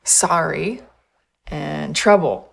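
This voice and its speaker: A creaky-voiced, guarded captive woman. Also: low voice, drawn-out words, heavily acted. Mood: casual, careful. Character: guarded, outwardly meek, secretly defiant captive woman. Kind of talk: naturalistic film drama acting.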